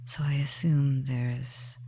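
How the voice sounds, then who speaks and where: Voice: mid-range voice, level tone, slow pace, dark tone, a little hiss or hum. A performer voicing a tender, devious male forest witch in an ASMR roleplay, whispering breathily and fussing soothingly over the listener with endearments. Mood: coaxing, concerned.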